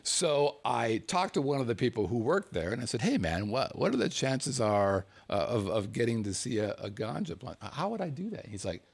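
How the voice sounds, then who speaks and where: Animated man telling a funny story. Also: medium pitch, moderate pace, creaky, hardly any pauses, slightly rough. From United States. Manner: animated storyteller, chuckles through his words. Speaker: show host telling a personal story. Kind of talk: casual anecdote telling.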